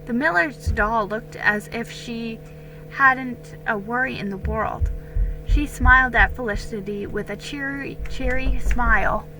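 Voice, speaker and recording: Female storybook narrator, high-pitched, bright and forceful, slow dramatic reading over music. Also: rough recording. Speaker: story reader.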